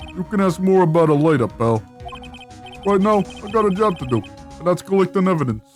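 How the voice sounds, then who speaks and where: Lady doing a blustery cop guy from a video game, blunt and bossy, lively up and down delivery. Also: lowish voice, brisk, soft background music, natural voice. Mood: blunt, businesslike. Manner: blunt, calls listener "pal". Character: gruff, blustery male police detective, folksy and bossy. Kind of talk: video game character voicing.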